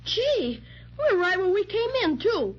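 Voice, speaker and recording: A woman's voice: extremely high pitch, big pitch swings, a little slow, full character voice. A woman voicing an eager, plucky little boy with gee-whiz delivery in a 1930s children's radio serial. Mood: excited. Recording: dull, hissy 1930s radio recording.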